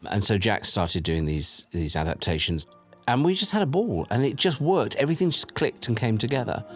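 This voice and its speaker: A man's voice: on the low side, very animated, brisk, faint music behind. A middle-aged man recalling past events casually in an interview, telling anecdotes and acting out bits of conversation. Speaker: audio drama producer. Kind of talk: casual documentary interview recollection.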